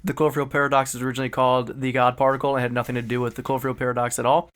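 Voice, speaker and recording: A man's voice: medium pitch, flat delivery, racing, fairly bright tone. A man co-hosting a podcast, throwing wry, joking asides into casual chat. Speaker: podcast co-host.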